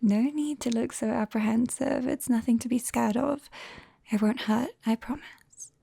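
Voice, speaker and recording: A British woman playing a clumsy, caring young witch in an ASMR roleplay, whispering softly and hesitantly, close to the mic. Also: on the high side, moderate pace, dry recording. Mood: gentle, reassuring. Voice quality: soft, breathy whisper. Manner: hesitant, coaxing, gently apologetic. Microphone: close binaural microphone.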